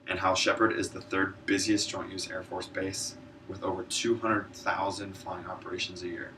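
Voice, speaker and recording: A man with a low-pitched voice gives a prepared informative talk. He sounds earnest, with the odd stumble. The mic is some way off, and faint noise sits around his words. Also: little pitch movement, moderate pace, pushed and bright. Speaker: student public speaker, military air traffic controller. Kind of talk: informative speech, prepared presentation. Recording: distant mic, noisy room ambience.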